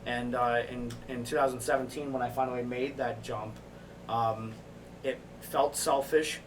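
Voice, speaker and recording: A man's voice: mid-range voice, steady tone, moderate pace, recorded from a distance. A man, a firefighter, talking as a podcast guest, telling a personal story in a candid, reflective, casual way. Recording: off-mic with clear background noise.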